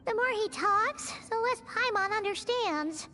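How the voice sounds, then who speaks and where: A woman voicing a tiny, excitable floating fairy-like girl companion who speaks of herself in the third person, squeaky and indignant in a video game. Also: measured pace, faint music behind, exaggerated voice. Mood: puzzled.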